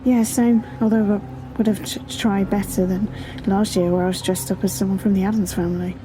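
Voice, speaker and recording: A woman's voice: mid-range voice, fairly even intonation, brisk, noisy background, natural voice. A young woman playing a level-headed, dryly teasing college student in an amateur audio drama. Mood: wry, friendly.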